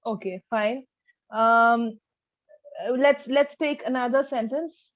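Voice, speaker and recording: High-pitched woman tutoring spoken English. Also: steady tone, takes it slowly, dry recording, dull audio. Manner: instructive, demonstrates sentences with exaggerated intonation. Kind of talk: one-to-one speaking lesson.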